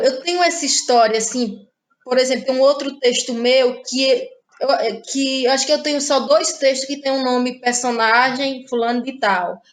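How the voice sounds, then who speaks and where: Playwright guest, woman from northeastern Brazil, slightly high voice, reflective and unhurried, frequent self-corrections. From Ceará, Brazil. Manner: thoughtful, halting, many restarts and fillers. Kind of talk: casual interview conversation.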